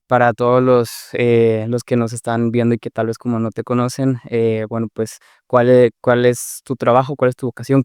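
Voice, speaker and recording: Podcast host, man, smooth clear voice, dry room, fumbly casual questions. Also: medium pitch, darkish tone, dry recording. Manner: hesitant, many fillers like "pues" and "eh".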